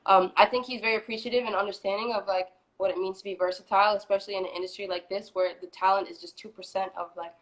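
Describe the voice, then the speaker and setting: A woman in her twenties from Florida, a singer answering interview questions in a relaxed, chatty way with many fillers. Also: mid-range voice, fast talker, few pauses, poor audio. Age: 20s. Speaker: guest, singer. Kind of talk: casual interview.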